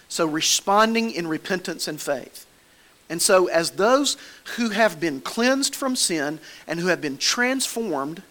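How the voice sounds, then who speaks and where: A man's voice: sits high, fairly bright tone. A man with a Southern American accent preaching a sermon in a church, folksy and conversational, with homespun stories. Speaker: pastor.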